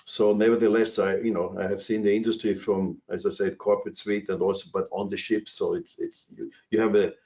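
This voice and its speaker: Low-voiced Austrian man, rambling on a call. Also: flat delivery, brisk, a little mellow, muffled recording. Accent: Austrian German. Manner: rambling, anecdotal, many fillers and restarts. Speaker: cruise industry executive. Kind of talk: webinar panel talk. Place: his office. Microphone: video call.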